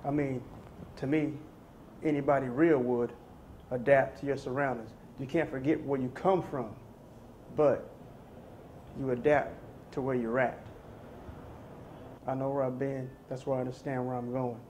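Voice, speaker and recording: A man's voice: mid-range voice, steady tone, measured pace, pauses often, darkish tone, a little hiss or hum. An American man speaking casually and plainly in an interview, in short, assured, philosophical statements. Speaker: documentary interviewee.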